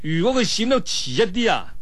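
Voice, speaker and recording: A man's voice: sits high, big pitch swings, fairly quick, a bit bright. A man with a Cantonese accent telling a martial-arts tale in a dramatic, colloquial traditional storytelling style. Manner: dramatic, colloquial, with spoken sound effects and interjections.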